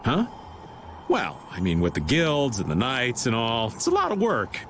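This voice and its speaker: An actor playing an easygoing, joking middle-aged game character with a colloquial, playful drawl and comic complaining. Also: male voice, medium pitch, wildly swooping pitch, normal speed, fairly bright tone, slight background noise. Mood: whiny, pleading. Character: joking, easygoing middle-aged man, self-styled old-timer. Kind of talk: animated game voice acting.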